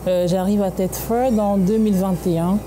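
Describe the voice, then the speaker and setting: A woman's voice: medium pitch, fairly even intonation, normal speed. A woman in her twenties from Cameroon with a Cameroonian French accent, a composed guest on a talk show. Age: 20s. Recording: noisy background.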